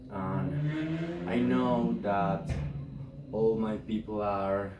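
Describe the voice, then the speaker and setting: A man from Colombia, a native Spanish speaker, talking casually to his viewers in halting English with a Colombian accent from his bedroom. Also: lowish voice, fairly even intonation, roomy sound, recorded from a distance, dull audio, noisy background. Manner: halting, informal English with slips. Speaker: video creator. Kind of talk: casual talk to camera. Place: bedroom, indoors.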